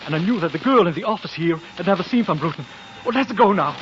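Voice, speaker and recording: An actor playing a cornered foreign impostor with stilted, formal phrasing in a 1940s radio drama. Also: male voice, high-pitched, lively intonation, fast, fairly bright tone. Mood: anxious, confessing. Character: shifty foreign male impostor, cornered and pleading. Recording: muffled 1940s radio, faint noise.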